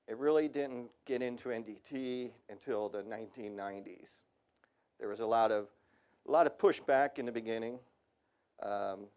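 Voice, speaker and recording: A man's voice: on the low side, darkish tone. A middle-aged man giving a casual technical seminar talk on industrial radiography, with a slightly worn voice and frequent ums. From United States.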